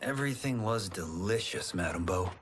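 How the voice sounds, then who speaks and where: An actor playing a calm, good-natured young farmer in a video game cutscene, gently reasoning with his friend. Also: sits low, moderate pace, background noise. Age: young adult. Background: game score music.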